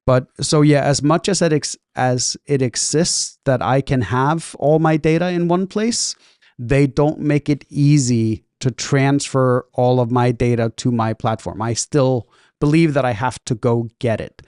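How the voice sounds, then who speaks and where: A man's voice: medium pitch, a little slow, a little mellow, clean tone, no room sound, studio quality. A man co-hosting a casual business podcast, talking chattily and ending his points with "right?". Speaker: podcast co-host.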